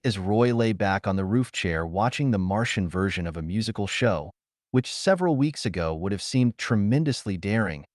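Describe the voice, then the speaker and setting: A man's voice: lowish voice, dry recording, studio quality. A man reading a pulp science fiction story as a scripted audiobook narration.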